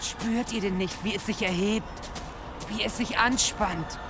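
A woman's voice: mid-range voice, steady tone, unhurried, over music, natural voice. A woman playing an ominous, taunting village prophetess in a German audio drama, speaking in a portentous, incantatory way. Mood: ominous, fervent.

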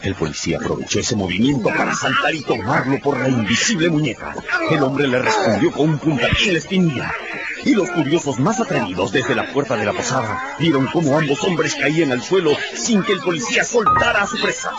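A man's voice: mid-range voice, animated, fairly quick, muffled recording, over loud music. A man delivering dramatic scripted narration for a radio story.

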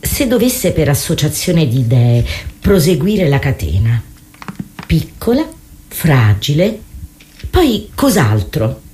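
A woman playing a formal, probing female therapist in a radio play, swinging between hushed and loud clinical questioning. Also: sits low, very animated, takes it slowly, slight background noise, exaggerated voice.

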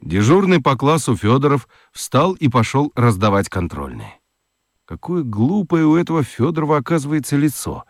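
A man giving an expressive, theatrical scripted narration of a children's story. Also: medium pitch, normal speed, no room sound, studio quality.

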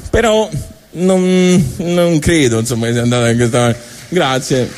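A man with a Tuscan accent delivering a colloquial, digressive comic monologue on stage, with halting asides. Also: fairly high pitch, fairly even intonation, darkish tone, a faint crowd, slight background noise. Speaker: comic storyteller. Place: live stage show.

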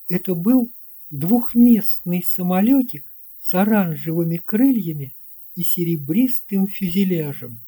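Slow, very mellow storybook narrator. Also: medium pitch. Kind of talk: scripted audiobook narration of a children's fairy tale.

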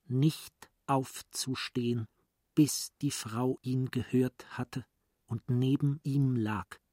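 A man narrating a radio play as a literary storyteller, in a deliberate, dramatic manner. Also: mid-range voice, darkish tone, slightly rough, dry recording, studio quality.

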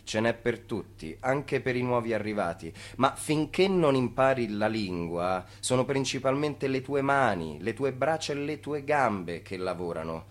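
A man playing a dry, ironic narrator in a radio play, speaking in clipped, deadpan phrases. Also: medium pitch, measured pace, few pauses, natural voice.

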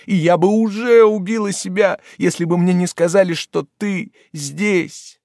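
Desperate sobbing young woman, played by a male reader: high-pitched, frantic, begging, storybook audiobook. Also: fairly even intonation, a little slow, creaky, a little mellow, no room sound, clean studio sound. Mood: anguished. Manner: shrill, tearful, pleading. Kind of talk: audiobook character voice.

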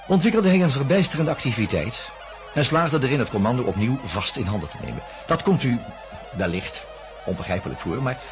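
An actor playing a probing male investigator who narrates a radio drama with measured, formal phrasing. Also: lowish voice. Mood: careful, intrigued. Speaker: narrator and interviewer.

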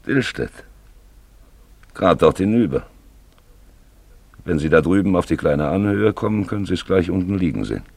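An actor playing a gruff, superstitious old huntsman in a German radio play, with a gravelly, husky voice and a brusque, practical manner. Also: low voice, lively intonation, moderate pace, pauses often, a little mellow, dry recording, full character voice. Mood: gruffly helpful.

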